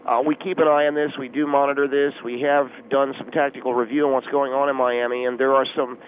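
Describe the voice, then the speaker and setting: A man playing an earnest, self-important volunteer police spokesman phoning in to a comedy radio show, speaking in a halting, officious, formal way. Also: medium pitch, flat delivery, natural voice. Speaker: phone-in guest. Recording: tinny phone line with noise.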